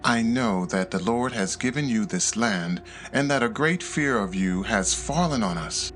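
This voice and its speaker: Casting: male narrator as earnest woman of ancient Jericho, pleading, lively swoops in pitch, music underneath. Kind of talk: dramatized scripture reading.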